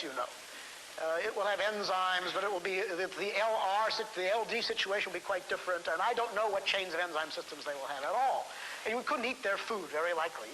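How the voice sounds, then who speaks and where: A man speaking as a scientist in unscripted, lecture-like talk, halting and deliberate, repeating phrases for emphasis. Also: high voice, pushed and bright, low-quality recording. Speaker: scientist interviewee. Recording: hissy vintage 1970s film soundtrack.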